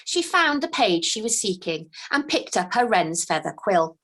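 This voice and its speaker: A woman, a children's author, reading her own book aloud with gentle, expressive storytelling, then chatting about the next book. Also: medium pitch, moderate pace.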